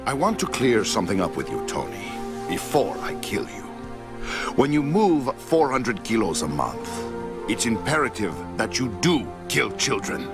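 An actor playing a ruthless middle-aged drug kingpin, measured and menacing, in a video game. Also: male voice, mid-range voice, big pitch swings. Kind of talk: video game cutscene acting. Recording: music behind the voice.

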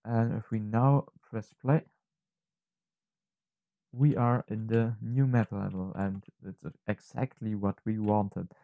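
A man narrating an unscripted software tutorial, thinking aloud haltingly and correcting himself as he goes. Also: on the low side, steady tone, no echo, phone-quality sound. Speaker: tutorial presenter.

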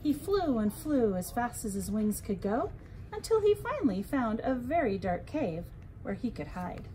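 Animated, mellow woman reading a bedtime story. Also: a little high, normal speed, slight background noise, rough recording. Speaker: librarian reading a children's story. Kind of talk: expressive children's bedtime story narration.

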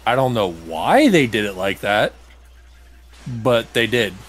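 A man's voice: fairly low pitch, sing-song, slow, breathy edge. A man playing a video game and commentating casually, with blunt, grumbling remarks. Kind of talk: casual gameplay commentary chat.

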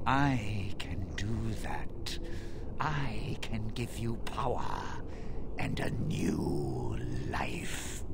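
A man's voice: on the low side, lively intonation, drawn-out words, vocal fry, noisy background, full character voice. A man playing a smug, grandiose possessed mage in a video game, with a theatrical, condescending drawl. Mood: coldly persuasive. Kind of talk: video game dialogue acting.

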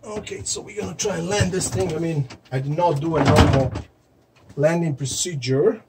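A man's voice: on the high side, sing-song, moderate pace, vocal fry. A man giving casual, informal live commentary to viewers while flying a cockpit simulator. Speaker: vlogger, amateur flight simulator pilot. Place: small home-built cockpit simulator, indoors. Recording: loud engine and cabin noise. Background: loud engine drone and air rush.

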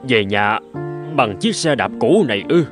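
A man's voice: on the low side, very animated, measured pace. A man voicing an ailing, anxious older father in a cartoon, speaking in a weary, plaintive way. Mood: doubtful. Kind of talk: animated film dubbing. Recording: music clearly behind the voice.

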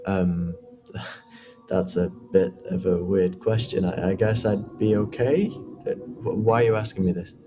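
A man's voice: low voice, steady tone, a little slow, music in the background. A man playing a nervous, friendly young job applicant and victim in an analog horror film, with a hesitant, stammering delivery. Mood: uneasy, surprised.

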